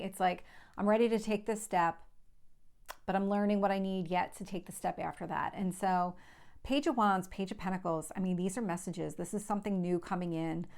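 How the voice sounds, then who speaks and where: A woman's voice: lowish voice, darkish tone. A woman with a slight lisp, giving a conversational tarot reading to viewers and explaining things in a reassuring way, often repeating points. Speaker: tarot reader, channel host.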